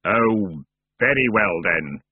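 Sepulchral skeletal ferryman, weary, disapproving, formal, husky, a man acting it out, storybook. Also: expressive, slow, no room sound, narrow, tinny audio, heavily acted. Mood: grudging. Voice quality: sepulchral, low. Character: grim, disgusted, hooded skeletal ferryman of the dead.

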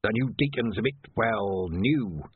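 A man's voice: lowish voice, animated, a little slow, slightly rough, narrow, tinny audio, full character voice. A man voicing an eccentric, sulky male gravedigger who lives in the crypts and talks in a wheedling, pleading way. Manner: wheedling, pleading, faux-old-fashioned speech.

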